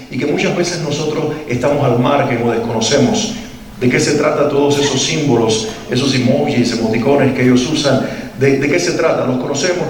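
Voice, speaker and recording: A man's voice: mid-range voice, a little mellow, slight background noise. A man from Cuba with a Cuban Spanish accent, giving an earnest, reflective talk to parents and asking them questions. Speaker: parenting workshop speaker. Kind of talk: talk to a live parent audience. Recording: echoey hall, mic at a distance.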